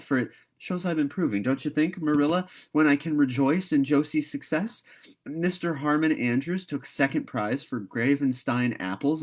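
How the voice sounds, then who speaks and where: A man's voice: medium pitch, voice pushed up, natural voice. A man voicing a talkative, dreamy young girl in a storybook read-aloud, earnest and gushing. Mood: eager, pleased.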